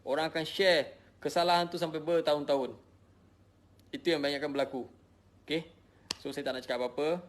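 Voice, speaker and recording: A man's voice: a little high, fairly even intonation, fairly quick, long pauses. A middle-aged man from Malaysia with a Malaysian Malay accent, tired, defensively explaining himself to followers and repeating his points. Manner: emotional, repetitive, defensive. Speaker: social media personality. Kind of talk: personal explanation to followers, casual.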